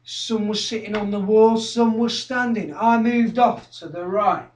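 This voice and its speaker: A man's voice: high-pitched, unhurried. An actor performing a theatrical monologue as an obsessive, confrontational young male skinhead who relives his violent past. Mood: haunted, confiding. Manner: obsessive, confrontational, repetitive, swinging from hushed to shouting. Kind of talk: theatrical dramatic monologue, direct address. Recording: roomy echo, distant microphone.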